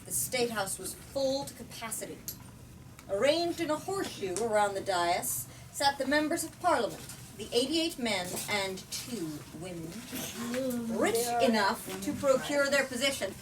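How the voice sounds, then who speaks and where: A woman storyteller reading a novel aloud to listeners with dramatic, deliberate phrasing. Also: on the high side, animated. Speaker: storyteller, book talk leader. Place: bookstore. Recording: distant mic, clear background noise.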